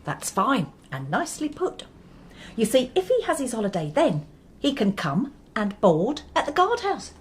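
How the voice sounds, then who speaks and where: An actress playing a brisk, shrewd, composed mother-in-law in a radio drama, with an English accent. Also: on the high side, wildly swooping pitch. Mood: coolly managing. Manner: crisp, composed, managing. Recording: faint background noise.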